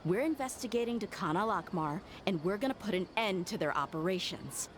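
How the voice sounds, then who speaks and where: A woman's voice: mid-range voice, animated, fairly quick, background noise, natural voice. An actress playing a tough, blunt female mercenary in a video game, with a firm and cool manner. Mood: cool, threatening.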